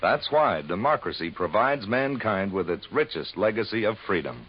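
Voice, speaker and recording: Husky, earnest male radio announcer. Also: on the low side, animated, normal speed. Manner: earnest, declamatory. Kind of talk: scripted 1950s radio public-service narration. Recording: muffled vintage radio recording.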